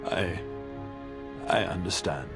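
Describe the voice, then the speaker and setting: A slow, pained dying nobleman. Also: low voice, over music. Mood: pleading, weak. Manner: halting, pained, labored delivery. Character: gravely wounded noble father, dying, loving and dutiful. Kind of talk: dramatic video game acting.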